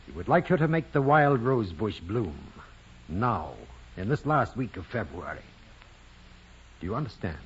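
A man's voice: lowish voice, very animated, normal speed. An actor playing a stern, commanding male priest in an old radio drama, formal, imperious and sardonic. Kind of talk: 1940s radio drama acting. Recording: muffled old radio recording with hiss.

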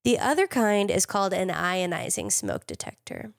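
A woman chemist hosting a casual podcast, slightly nasal from a cold, upbeat and quick to laugh. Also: medium pitch, wildly swooping pitch, creaky, no echo, studio quality. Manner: laughs often, upbeat and chatty.

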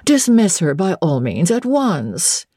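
A woman's voice: on the low side, very animated, slow, warm tone, breathy edge, dry recording, clean studio sound, heavily acted. Woman as a disdainful older dowager, swooping grandly. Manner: grand, disapproving. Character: proud, disdainful older aristocratic dowager mother.

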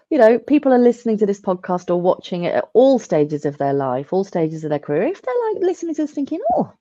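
A woman's voice: medium pitch, mellow, no room sound, highs cut off. A woman hosting a podcast interview, speaking in an encouraging and affirming way. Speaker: podcast host.

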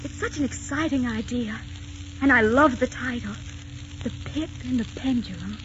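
An actress playing a tender, devoted young wife in a 1940s radio drama, earnest and romantic. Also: female voice, a little high, normal speed, background noise, lightly acted. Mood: soothing, adoring. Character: tender, devoted, frail twenty-three-year-old wife of a writer. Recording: dull vintage 1940s radio recording, music behind.

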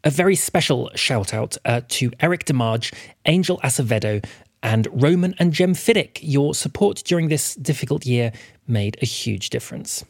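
Animated, husky male storyteller. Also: medium pitch, moderate pace, no echo, clean studio sound. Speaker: podcast host and storyteller. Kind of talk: scripted narration.

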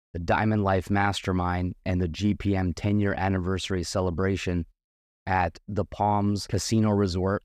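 Man with a hoarse, fading voice. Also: sits low, a little mellow, no echo, studio quality. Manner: earnest, motivational, with many restarts. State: losing his voice, slight cough. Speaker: podcast host. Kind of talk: solo podcast monologue.

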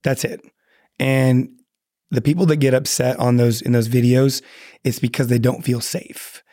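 A man's voice: medium pitch, flat delivery, unhurried, dark tone, dry recording, studio quality. A man speaking earnestly as a podcast guest, often restarting his sentences. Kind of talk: casual podcast chat.

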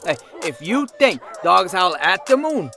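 An actor playing an indignant, whiny dad in a comedy podcast, ranting petulantly through a scathing customer review. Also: male voice, high-pitched, very animated, measured pace, vocal fry, music in the background, exaggerated voice. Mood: exasperated. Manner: exasperated, petulant, emphatic complaining.

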